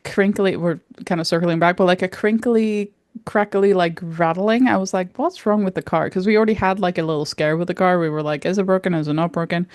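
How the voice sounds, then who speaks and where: Rambling, animated young woman storyteller. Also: lowish voice, fairly quick, few pauses, no echo, studio quality. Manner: rambling, animated, swears freely. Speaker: podcast co-host. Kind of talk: casual storytelling chat.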